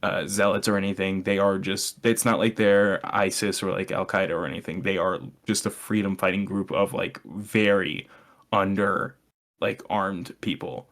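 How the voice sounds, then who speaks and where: Young guy on a podcast, kinda low voice, sardonic, explaining stuff casually, swears a bit. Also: fairly even intonation, moderate pace, a bit bright. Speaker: podcast co-host. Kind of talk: casual opinionated chat.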